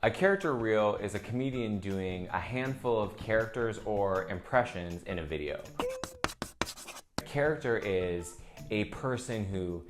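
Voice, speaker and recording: A man's voice: fairly low pitch, lively intonation, unhurried. A man giving a casual, conversational lesson as a comedy instructor, often dropping "like" into his sentences. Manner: conversational, peppered with "like". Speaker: comedian teaching character reels. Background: faint music.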